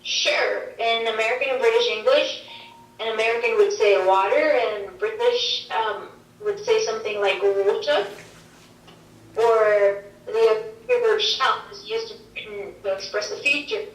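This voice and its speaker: A woman whose first language is Swedish, answering interview questions on linguistics over a video call, explaining in a measured way with examples. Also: a little high. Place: played through a wall screen in a dim room. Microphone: video call heard over speakers. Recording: roomy, distant playback from a screen.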